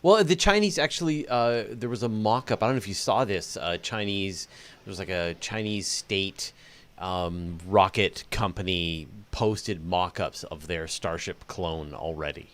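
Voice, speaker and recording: A man with a fairly low voice hosts a relaxed conversational interview. He thinks out loud, rewords his questions as he goes and slips in jokey asides. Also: measured pace. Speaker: interview host. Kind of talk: casual interview chat.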